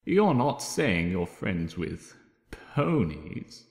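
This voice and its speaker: A man's voice: low-pitched, sing-song, creaky, a little mellow, voice pushed down, full character voice. A man voicing a menacing male monster villain in a storybook reading, scornful, grandiose and disdainful in his speechmaking. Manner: slow, disdainful, rambling speechifying. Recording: roomy, long reverb.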